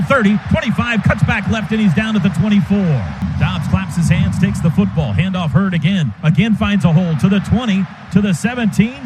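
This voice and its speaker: Radio football announcer, male, higher-pitched, clipped rapid calls, stadium noise under him. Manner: clipped rapid calls, bursts to a shout on big plays. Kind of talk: live radio football play-by-play.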